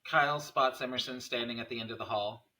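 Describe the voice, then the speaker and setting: A man's voice: mid-range voice, steady tone, fast talker, sharp tone. A man reading scene headings and stage directions as narrator at a script table read.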